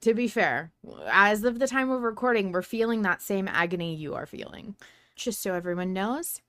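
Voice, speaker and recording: A woman's voice: mid-range voice, normal speed, fairly bright tone, no room sound. A woman hosting a podcast, chatting casually as a fan. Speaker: podcast host.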